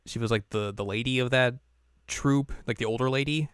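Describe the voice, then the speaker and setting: A man's voice: mid-range voice, brisk, clear, resonant tone, no room sound, clean studio sound. A man co-hosting a podcast in casual chat, rambling with lots of fillers and frequent laughs. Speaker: podcast co-host.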